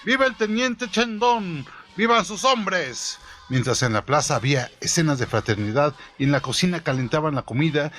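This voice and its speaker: A man narrating a story in the first person, in a measured, dramatized storytelling style. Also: mid-range voice.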